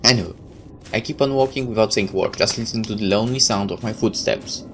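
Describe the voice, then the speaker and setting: A man's voice: on the low side, fast, fairly bright tone. A man reading a visual novel aloud like a dramatic storyteller, tossing in his own asides. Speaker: let's player. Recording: clear background noise.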